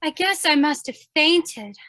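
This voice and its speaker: A woman playing a bright, high-voiced plucky newsgirl. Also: animated, a little slow, exaggerated voice. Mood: feigned innocence. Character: plucky, eager young female news photographer. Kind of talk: old-time radio drama acting.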